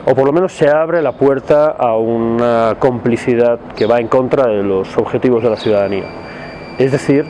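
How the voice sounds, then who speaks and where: Male, soft dark tone, deliberate opinion piece, searching for words, faint background noise. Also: medium pitch, low-quality recording. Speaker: civil society commentator in a video message. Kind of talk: spoken opinion to camera.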